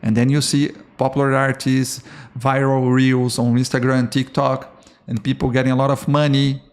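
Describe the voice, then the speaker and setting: A man's voice: mid-range voice, flat delivery, moderate pace. A man from Brazil with a Brazilian Portuguese accent, speaking English as a second language, hosting a solo podcast for artists in an earnest manner, often restarting words and checking in with "okay?". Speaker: podcast host.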